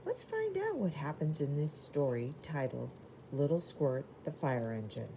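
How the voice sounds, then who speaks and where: Low-voiced woman reading slowly to children. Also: sing-song, noisy background. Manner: simple, deliberate phrasing addressed to children. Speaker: storyteller reading a picture book to children. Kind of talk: expressive read-aloud for young children.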